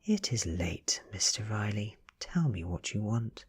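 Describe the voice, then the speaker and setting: A man's voice: lowish voice, big pitch swings, a little slow, slightly rough, heavily acted. A British man voicing a cool, teasing and imperious female vampire who looks like a teenager, in a story reading. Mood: quietly menacing.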